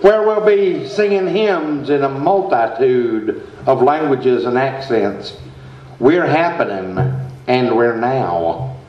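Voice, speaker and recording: Earnest small-town preacher , male actor, radio-play comedy, unhurried sermonizing, echoey and slightly dull stage sound. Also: medium pitch, expressive. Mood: earnest, unctuous. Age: middle-aged. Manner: slow, deliberate, sermonizing delivery. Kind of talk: comic old-time radio sketch, read from script. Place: theater stage before a live audience. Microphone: stand microphone, heard in the hall.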